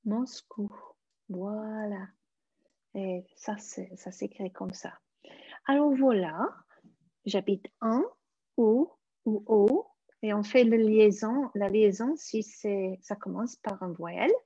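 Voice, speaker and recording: A woman teaching a live online French lesson, speaking slowly and deliberately and spelling out careful examples for her learners. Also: medium pitch, warm tone, breathy edge, dry recording. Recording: dull, dry lo-fi stream audio.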